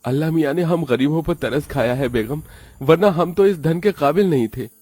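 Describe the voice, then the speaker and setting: An actor playing an earnest, kind poor husband in a cartoon story. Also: male voice, on the high side, moderate pace, a little mellow, no echo, faint music behind, lightly acted.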